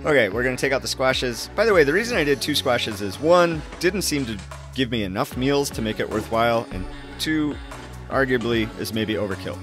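A man's voice: mid-range voice, expressive, a little fast. A middle-aged man talks casually into a camera clipped close by, first at the kitchen counter and then out on a road bike. His mid-range voice stays loose and joking, with music playing underneath the whole way. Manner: chatty, loose and self-mocking, talking to camera. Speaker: cycling vlogger. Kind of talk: casual vlog, cooking and riding. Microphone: action camera on the bike or held close.

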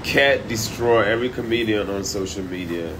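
A man livestreaming from a high-rise room by the beach on a phone at arm's length, talking loud, profane and animated. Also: moderate pace, noisy background. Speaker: comedian livestreaming. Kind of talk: casual live stream chat. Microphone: phone held at arm's length.

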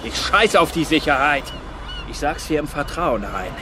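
Blunt North German seaman, highish voice. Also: sing-song, normal speed, noisy background. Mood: grumbling. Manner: blunt, grumbling. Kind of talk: radio drama acting.

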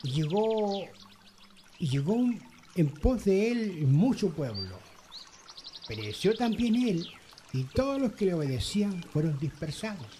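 A man acting as a measured, fatherly elder rabbi giving cautious counsel in an amateur radio drama. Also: sits high, big pitch swings, mellow, slight background noise. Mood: measured, reflective.

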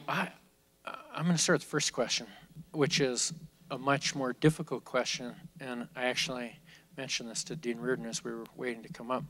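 Executive, male, 50s, mid-pitched, loose unscripted delivery on a stage handheld mic. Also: fairly bright tone, rough recording. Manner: candid, off-the-cuff, with frequent restarts. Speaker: company executive speaking at a business school event. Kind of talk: conversational onstage Q&A. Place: large auditorium stage, seated.

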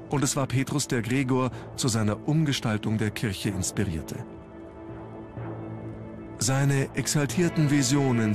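A man reading scripted historical documentary narration in a measured, formal storytelling manner. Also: medium pitch. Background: background music.

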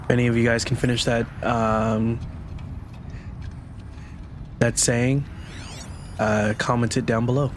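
A man's voice: medium pitch, little pitch movement, measured pace, pauses often, background noise. A man with a stuffy nose from a cold, casually commentating a video game playthrough. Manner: casual, chatty. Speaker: gaming channel host. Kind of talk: let's play commentary.

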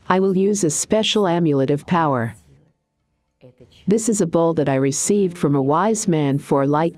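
A woman guiding a meditation in a calm, deliberate, soothing way, with pauses between short phrases. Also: deep voice. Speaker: meditation guide and coach. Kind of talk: guided meditation narration.

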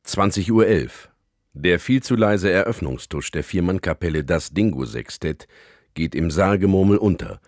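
A grave male chronicler narrating a mock documentary for radio comedy. His voice is very deep and his delivery deadpan and portentous. The sound is dry, with no room on it. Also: normal speed, dry recording.

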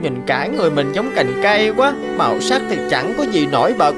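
A woman's voice: sits low, big pitch swings, fairly quick. A woman voicing a naive, eager young stick insect in a cartoon fable, childlike and earnest. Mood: hurt, envious. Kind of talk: animated fable dialogue. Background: prominent background music.

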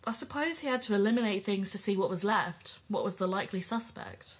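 A woman's voice: mid-range voice, animated, fairly quick, breathy edge. A woman playing a thoughtful sleuthing companion in audio drama dialogue, musing and supportive.

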